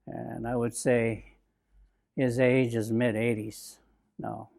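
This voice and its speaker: A man in his nineties from Bainbridge Island, Washington, telling his own memories in an oral history interview, slow and reflective, halting with fillers and restarts. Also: mid-range voice, little pitch movement, gravelly, darkish tone. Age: 90s. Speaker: interviewee, WWII-era Japanese American. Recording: interview recording.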